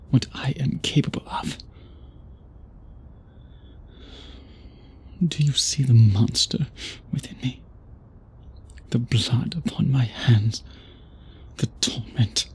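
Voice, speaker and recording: A man playing a centuries-old vampire suitor in an intimate ASMR audio drama, speaking in formal, archaic, deliberate phrases with a breathy, husky voice. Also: medium pitch, darkish tone, close to an everyday voice. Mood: menacing, obsessive. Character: centuries-old male vampire suitor, possessive, courtly, brooding.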